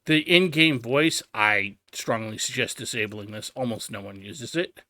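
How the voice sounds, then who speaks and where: A man's voice: mid-range voice, unhurried, pushed and bright. A man hosting a gaming video, giving instructional tutorial commentary and stressing his key advice.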